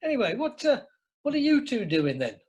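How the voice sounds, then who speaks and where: A man's voice: very high-pitched, big pitch swings, brisk, a little mellow, slightly rough, full character voice. A man playing a jovial, bluff older husband with a Northern English accent in an amateur radio comedy. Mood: blustering, nostalgic. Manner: chuckling, blustering, colloquial. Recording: online meeting recording.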